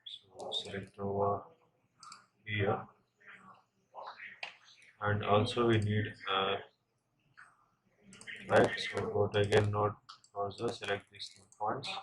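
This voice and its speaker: Male tutorial presenter, low-pitched and halting, checks in often; slightly noisy recording with typing and clicking. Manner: halting, with frequent check-in tags. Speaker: software instructor. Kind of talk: step-by-step screen tutorial.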